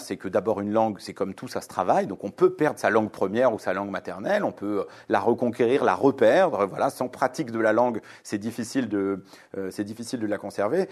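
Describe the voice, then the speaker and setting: A man's voice: on the low side, animated, a little fast, a little mellow. A man in his forties, from Lebanon, a guest author answering interview questions fluently and with many hesitations. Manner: fluent, reasoned, many fillers. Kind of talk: radio interview.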